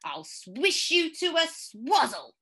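A woman voicing a furious, menacing hag from a storybook, loud and emphatic. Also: high-pitched, very animated, slow pace, pitched above the performer's own voice, heavily acted. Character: furious, shrieking old hag, Mrs Twit.

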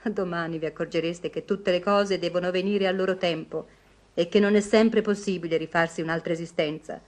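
An actress voices a sensible younger woman in a radio play. Her rather low voice is measured and earnest. She is kind but firm, with careful hesitations. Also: fairly even intonation, lightly acted. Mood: gently firm. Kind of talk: Italian radio play acting.

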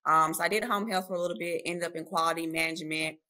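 Woman, thirties, low and bright tone, friendly talkative guest on a video call. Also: fairly even intonation, fast talker. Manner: friendly, talkative, addresses listeners as y'all. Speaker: guest nurse writer. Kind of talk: casual interview storytelling. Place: home room, video call. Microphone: webcam or laptop mic on video call.

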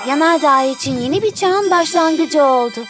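A woman voicing a gentle, wise young mermaid queen in a children's cartoon, speaking earnestly like a storyteller. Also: high-pitched, lively intonation, moderate pace. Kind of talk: animated children's cartoon dubbing. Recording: music under the voice.

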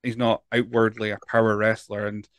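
A man's voice: lowish voice, level tone, normal speed, fairly bright tone, no room sound. A man in his thirties with a Scottish accent, hosting a podcast and asking long, rambling questions with restarts, on a close studio mic in a home studio. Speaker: podcast host. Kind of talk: casual interview chat. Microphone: headphones and a large studio mic close up.

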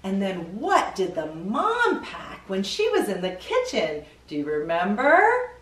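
A middle-aged woman hosting a children's read-aloud, expressive and questioning, stretching out key words for young listeners. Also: medium pitch, measured pace. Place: indoor studio with green screen, seated. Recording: roomy echo, distant microphone.

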